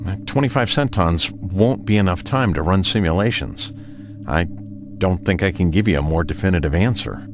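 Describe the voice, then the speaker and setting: A man's voice: sits low, normal speed, noisy background. A man playing a frank, doubtful military technician in an audio drama, hesitant and dry. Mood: uncertain.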